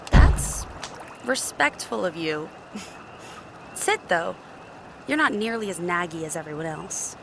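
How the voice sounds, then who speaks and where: A woman's voice: fairly low pitch, lively intonation, slow, creaky, pauses often, full character voice. Jaded, sassy female genie, noisy background. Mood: sassy, blunt. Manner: blunt, dry, sassy. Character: jaded, guarded, sarcastic ancient female genie bartender. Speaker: video game romance character. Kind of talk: scripted game dialogue, casual.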